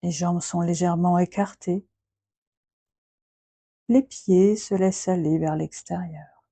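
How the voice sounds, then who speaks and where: Female meditation guide: slow, soothing delivery with long pauses, soft breathy tone, close dry sound. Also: fairly low pitch, fairly even intonation, no room sound. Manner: gentle, unhurried, with long pauses between short phrases. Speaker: yoga nidra guide.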